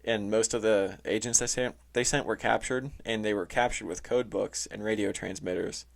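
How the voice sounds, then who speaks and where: A man explaining things casually as a podcast host, halting and informal, often saying "like". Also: lowish voice, steady tone, creaky. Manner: informal, halting, heavy use of "like". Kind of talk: casual explainer talk reading from notes. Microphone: close, touchy microphone.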